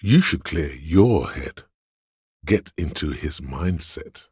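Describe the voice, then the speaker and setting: An actor voicing a seductive, hedonistic inner voice of addiction and lust in a video game, savoring words in a husky, insinuating way. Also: male voice, animated, slow pace, darkish tone, no echo, clean studio sound, full character voice. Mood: urging, craving. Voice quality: deep, gravelly, husky. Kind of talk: video game character narration.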